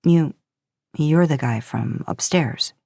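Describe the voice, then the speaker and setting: A woman voicing a laid-back, curious young male college student in a story, quiet and understated. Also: low-pitched, takes it slowly, gravelly, no echo, studio quality, full character voice. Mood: casual.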